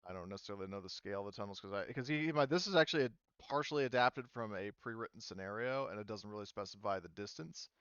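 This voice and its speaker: A man with a smooth, clear voice runs a tabletop game in a casual way. He explains things haltingly and conversationally, recorded close and dry with a slightly muffled top end. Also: lowish voice, a bit bright, dry recording. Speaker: game master. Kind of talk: casual tabletop game narration and rules talk.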